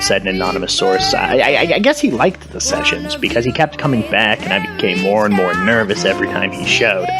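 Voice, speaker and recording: A man acts out a washed-up, boozy Hollywood gossip reporter for a spoof news podcast. His lowish male voice stammers, loses the thread and drifts into rambling digressions, all over prominent music. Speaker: entertainment reporter. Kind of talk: satirical fake news segment.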